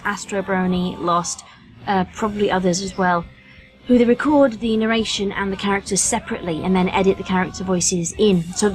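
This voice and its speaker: A woman's voice: medium pitch, poor audio. A British woman chatting informally as a podcast guest, with a self-deprecating, colloquial way of talking. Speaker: featured guest, voice actress. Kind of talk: casual podcast chat.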